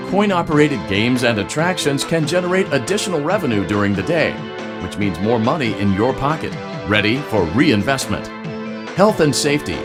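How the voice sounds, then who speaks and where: A man's voice: mid-range voice, natural voice. A man playing an upbeat, slick announcer narrating a parody corporate franchise training video in a game, peppy and salesy. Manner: peppy, salesy, smooth-talking. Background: music.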